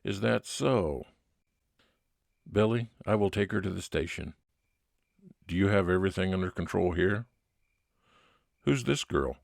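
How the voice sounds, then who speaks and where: A man voicing a brisk, matter-of-fact 1950s police detective in an old-time radio drama. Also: sits low, lively intonation, creaky, no echo, clean studio sound, exaggerated voice. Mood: businesslike.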